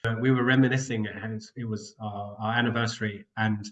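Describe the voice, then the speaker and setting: A man's voice: fairly low pitch, steady tone, fairly quick. A man with a cold and a nasal voice, chatting casually as a guest on an online panel, rambling and apologising as he goes. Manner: rambling, self-interrupting, apologetic. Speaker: guest carer and co-founder. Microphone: video call.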